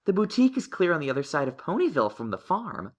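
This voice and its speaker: A woman's voice: sits low, sing-song, fast talker. A woman voicing a studious young male unicorn pony in a cartoon-style audio story, dry and pensive. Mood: thoughtful.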